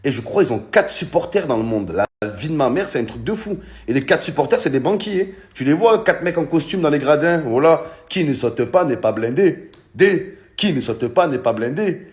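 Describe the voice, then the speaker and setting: Brief: male comedian, fairly high voice with big swings in pitch, mocking rant style. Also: moderate pace, few pauses. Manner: animated, mocking, swings to shouts. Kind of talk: casual comic rant to phone camera.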